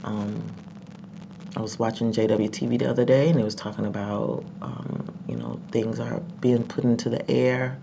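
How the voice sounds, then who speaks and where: Congested sick voice, gravelly, rambling with ums, dull lo-fi recording, faint background noise. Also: mid-range voice, steady tone, normal speed. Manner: rambling, many ums, repeats words. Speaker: vlogger. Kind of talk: casual commentary vlog.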